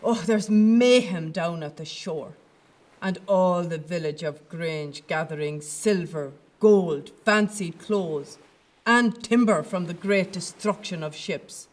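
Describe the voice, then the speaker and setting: An old Irish countrywoman played by an actress in an audio drama, sly, earthy and scornful. She grumbles in colloquial Irish English at an unhurried, slow pace. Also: lowish voice. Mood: harried, scolding. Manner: colloquial, sardonic. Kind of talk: audio play acting.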